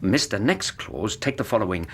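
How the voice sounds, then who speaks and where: An actor playing an analytical British gentleman detective, speaking in a precise, deliberate manner in a radio drama. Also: on the low side, lively intonation, slight background noise. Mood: deliberate, absorbed.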